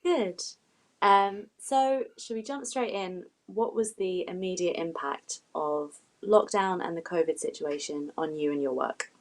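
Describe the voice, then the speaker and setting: Woman hosting, slightly low voice. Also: measured pace. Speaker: show host. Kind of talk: live online interview presenting.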